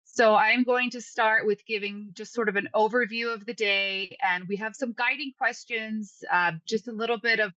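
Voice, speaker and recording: A woman's voice: a little high, fairly even intonation, moderate pace, hardly any pauses, bright, forceful tone, no room sound. A woman hosting an online class, chatty and a little apologetic as she moderates over a video call. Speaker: session host and instructor. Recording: muffled video-call audio.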